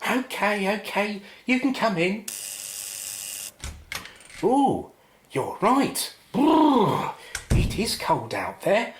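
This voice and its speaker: A woman's voice: mid-range voice, big pitch swings, very slow, pauses often, reverberant, a little hiss or hum. A British woman playing a chatty, flustered young receptionist in a radio play, polite and hesitant. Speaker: actor.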